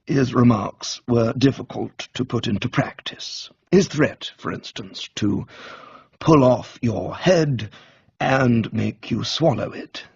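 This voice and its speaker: Unflappable English manservant a man performing: formal, deadpan, gravelly and measured, radio storybook comedy, slightly dull sound. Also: mid-range voice, heavily acted. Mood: grave, deferential. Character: unflappable, deferential English gentleman's valet, male. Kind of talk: dramatised comic audiobook reading for radio.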